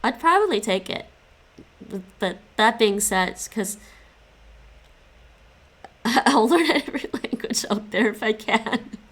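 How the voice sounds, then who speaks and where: A woman's voice: a little high, expressive, slow, long pauses, a bit bright, breathy edge. A young woman chatting casually with stream viewers while she studies languages. She stops and restarts often and laughs a lot. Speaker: live streamer studying languages.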